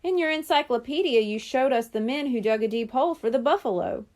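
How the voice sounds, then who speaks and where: A woman's voice: sits high, lively intonation, fairly quick, a little mellow. A woman voicing a clever, confident young girl planner in a children's storybook.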